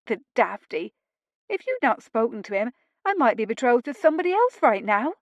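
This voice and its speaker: Woman playing an excitable chatty housemaid. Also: a little high, dry recording, muffled recording, voice pushed up. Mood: excited. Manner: breathless, gossipy, quick.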